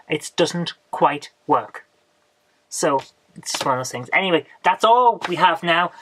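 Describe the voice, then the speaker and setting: A woman, hoarse from a coming cold, hosting a casual retro game haul video. She rambles chattily and often ends with tag questions. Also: low-pitched, a bit bright, rough recording. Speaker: retro gaming channel host.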